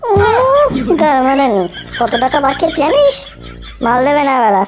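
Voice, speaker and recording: Someone putting on a grumpy cartoon friend, kinda high voice, complains and scolds, music under. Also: huge pitch swings, moderate pace. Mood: grudging, whiny. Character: grumbling, put-upon foul-mouthed male friend. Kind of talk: Bengali comedy cartoon voice acting.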